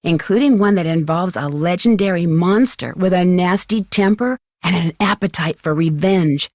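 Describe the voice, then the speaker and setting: A young woman playing a plucky, curious amateur detective in scripted video game dialogue, with a clear, earnest, chatty delivery. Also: fairly low pitch, moderate pace, darkish tone, no room sound, clean studio sound, lightly acted. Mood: inviting. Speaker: voice actor as game heroine.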